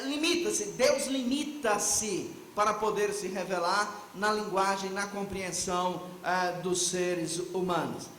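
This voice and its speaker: High-voiced man lecturing in echoey hall. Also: a bit bright, slight background noise. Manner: reflective, asks and answers his own questions. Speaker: conference speaker. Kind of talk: theological lecture.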